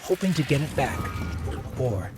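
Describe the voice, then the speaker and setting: A man's voice: mid-range voice, very animated, moderate pace, close to an everyday voice. A man voicing a small, earnest, helpful robot companion in a video game, precise and encouraging. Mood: solemn. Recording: loud music behind.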